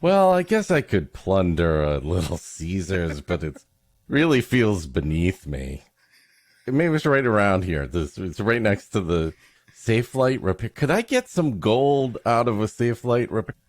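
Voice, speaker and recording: Male comedy host, deep and unhurried, mock-dramatic, close dry sound. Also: big pitch swings, no echo. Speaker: podcast host. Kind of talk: comedic banter and story introductions.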